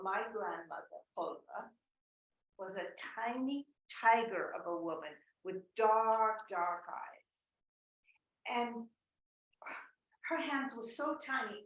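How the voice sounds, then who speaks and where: A woman telling a personal story to a group over a video call, in a deliberate, wry manner. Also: medium pitch, fairly bright tone. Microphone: computer mic on a video call. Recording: roomy and distant from the mic.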